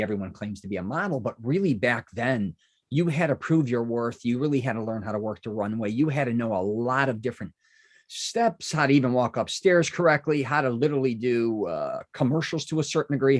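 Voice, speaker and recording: A man from Chicago hosting a podcast, chatting casually and rambling with many asides and restarts. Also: mid-range voice. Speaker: podcast host. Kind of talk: casual interview chat.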